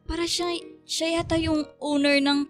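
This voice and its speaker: An actress plays a young Filipina-accented woman, the loyal, pushy best friend in a radio drama. Her voice sits high and she talks in a chatty, matchmaking way. Light music plays underneath. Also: little pitch movement, moderate pace, lightly acted. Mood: excited, insistent.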